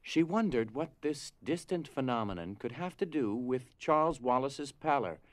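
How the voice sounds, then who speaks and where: A man's voice: mid-range voice, expressive, moderate pace, dry recording. Male story narrator, dry close sound.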